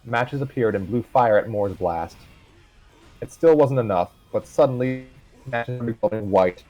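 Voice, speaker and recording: A man's voice: mid-range voice, unhurried, no echo, muffled recording, faint music behind. A man reading a fan story aloud on a livestream, casually, with stumbles and asides.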